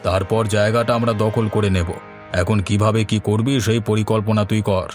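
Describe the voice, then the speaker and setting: A man's voice: fairly low pitch, flat delivery, normal speed, natural voice. A man playing a covetous village landlord in a cartoon, commanding and calculating. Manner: bossy, calculating. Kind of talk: cartoon voice acting. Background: background music.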